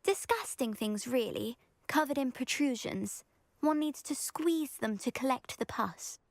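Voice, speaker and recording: A woman's voice: high-pitched, lively intonation, unhurried, no echo. A woman voicing a vain, scheming teenage schoolgirl in a video game, with a pompous, genteel British delivery. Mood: disgusted. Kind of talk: video game character acting.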